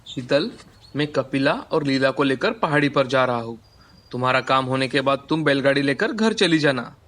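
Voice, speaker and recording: A man's voice: mid-range voice, expressive, normal speed, fairly bright tone, natural voice. A man playing a plain village farmer husband, baffled and doubting, in an acted moral story. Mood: calm, thoughtful. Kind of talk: scripted Hindi moral story acting.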